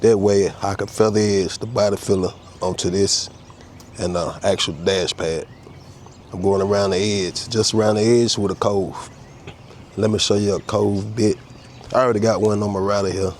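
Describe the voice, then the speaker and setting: Man, low voice, head cold, laid-back workshop how-to talk, faint outdoor sounds. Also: steady tone, normal speed, a little mellow, slight background noise. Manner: easygoing, talks while working, explains each tool. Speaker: custom car restorer demonstrating a repair. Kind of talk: casual step-by-step how-to narration.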